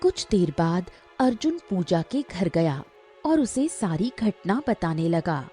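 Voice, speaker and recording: A woman narrates a folk tale slowly and dramatically in a mellow voice with plenty of melody. Soft music plays behind her. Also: on the low side, big pitch swings. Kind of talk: dramatic scripted story narration.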